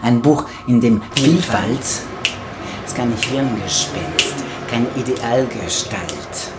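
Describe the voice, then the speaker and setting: Slow, echoey man reciting. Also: mid-range voice, very noisy. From France. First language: French. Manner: deliberate, theatrical pauses. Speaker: author and spoken word artist presenting own book. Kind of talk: poetic spoken word book trailer monologue. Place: small home kitchen, indoors. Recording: roomy echo, music behind. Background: music.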